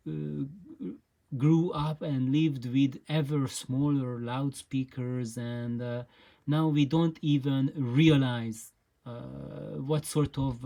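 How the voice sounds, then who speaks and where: A host giving an informal explainer talk in a halting, hesitant way, with frequent pauses and repeated words. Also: medium pitch, mellow. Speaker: host of an audio gear channel.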